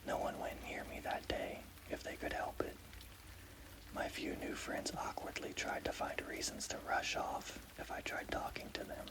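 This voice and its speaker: A man narrating a scary story as ASMR in a breathy, unvoiced whisper. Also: normal speed.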